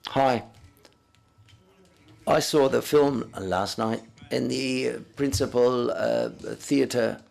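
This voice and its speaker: A man interpreting live at a press conference, speaking haltingly and often correcting himself as he renders others' words in first person. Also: mid-range voice, steady tone, creaky, darkish tone, faint music behind.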